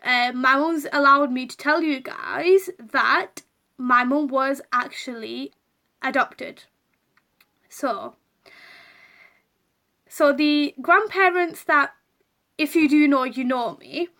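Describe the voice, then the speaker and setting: Young woman chatting to her viewers, high voice, lots of ums, open and personal. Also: slow pace, pauses often, bright, forceful tone. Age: young adult. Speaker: vlogger. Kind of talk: casual personal vlog.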